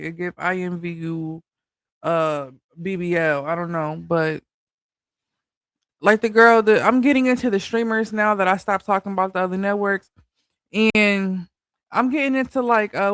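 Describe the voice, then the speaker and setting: A man's voice: high voice, fairly bright tone, smooth, no echo, clean studio sound. A man hosting a casual commentary channel, talking loosely with a slight lisp and a bit of a stutter. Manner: stutters a little, loose and chatty.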